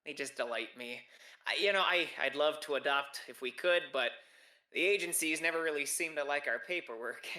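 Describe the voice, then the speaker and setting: Man doing a gushing Minnesotan grim reaper, bright voice. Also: fairly high pitch, normal speed, echoey room. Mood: warm, gushing. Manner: chatty, gushing.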